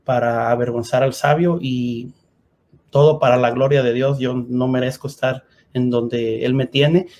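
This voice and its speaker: A man's voice: medium pitch, little pitch movement, unhurried, a little mellow. A man, a guest, giving a personal faith testimony and helping his wife find her words. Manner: helps translate his wife, fills in words.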